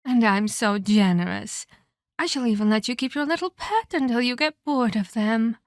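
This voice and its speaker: A woman playing a haughty, menacing vampire elder in a scripted ASMR roleplay, speaking in a deliberate, taunting, condescending way with formal phrasing. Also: a little high, no echo, studio quality, lightly acted. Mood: tempting, sinister.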